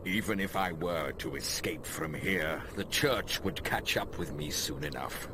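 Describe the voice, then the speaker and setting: A man's voice: low-pitched, background noise. An actor playing a pleading, devout old male prisoner in a video game, speaking in a formal, theatrical way. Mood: grave, urgent. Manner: theatrical, formal, drawn-out delivery. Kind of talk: dramatic video game cutscene acting.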